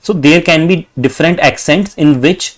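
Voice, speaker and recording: A man with an Indian accent, tutoring exam preparation, reading practice sentences aloud slowly and saying okay often. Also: a little high. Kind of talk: tutorial explaining test questions.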